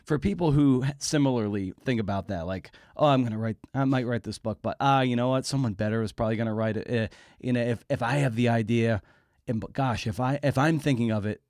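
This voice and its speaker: A man hosting a podcast interview in a casual way, haltingly repeating words as he builds his point. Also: mid-range voice, darkish tone, dry recording, clean studio sound. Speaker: podcast host interviewing an author.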